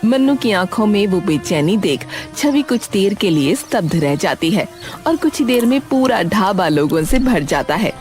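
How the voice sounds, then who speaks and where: Expressive woman storyteller over music. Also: medium pitch, moderate pace. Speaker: storyteller, narrator. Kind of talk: scripted Hindi story narration. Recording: music bed under the voice.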